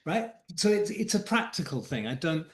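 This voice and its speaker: A man's voice: fairly high pitch, very animated, moderate pace, reverberant. A man, an executive coach and guest, speaking reflectively in a podcast interview and often repeating words as he thinks aloud.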